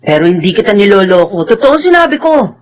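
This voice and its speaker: An actress in a Filipino radio drama playing an earnest, lovelorn young tomboy who pleads for affection. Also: female voice, mid-range voice, very animated, brisk, natural voice.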